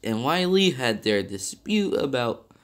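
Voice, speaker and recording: A man's voice: mid-range voice, big pitch swings, measured pace, fairly bright tone. A young man reviewing a video game in a rambling, casual way, with many fillers and self-corrections, still slightly nasal from being sick.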